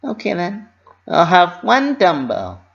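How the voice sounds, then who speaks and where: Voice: wildly swooping pitch, slow, vocal fry, highs cut off, heavily acted. A narrator voicing a young female cartoon pony, eager to please, bubbly and earnest, in a storybook reading. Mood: anxious pleading. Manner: high-pitched, bubbly, earnest.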